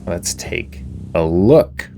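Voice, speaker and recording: Slow, low-voiced male game commentator. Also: creaky, faint music behind. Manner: relaxed, slow-talking, reads game text aloud. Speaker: gaming channel host. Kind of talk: casual gameplay commentary.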